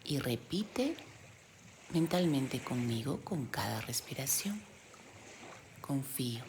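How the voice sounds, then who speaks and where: A woman leading a guided meditation in a gentle, soothing delivery with pauses. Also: extremely low pitch, noisy background. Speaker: meditation guide, yoga teacher. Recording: steady loud sea-wave background sound. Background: sound of ocean waves.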